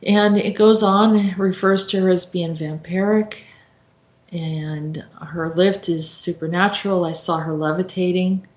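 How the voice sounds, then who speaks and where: A woman giving an informal explanatory talk as a host, in a halting, reflective manner. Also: lowish voice, steady tone. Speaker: host commentator. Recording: roomy, echoey sound.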